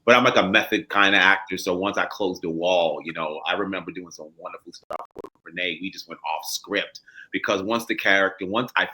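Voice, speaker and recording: A man's voice: sits low, brisk, sharp tone, rough recording. A man, an actor, talking casually and emphatically as an interview guest in colloquial language. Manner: colloquial, emphatic, peppered with 'you know'. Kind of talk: casual, animated-worded storytelling in an interview.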